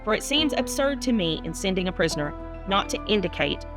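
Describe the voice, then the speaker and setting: A woman's voice: mid-range voice, sing-song, brisk, music in the background. A woman from the United States, a minister, who reads scripture and chats. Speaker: guest minister and Bible reader. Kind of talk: Bible reading and casual chat.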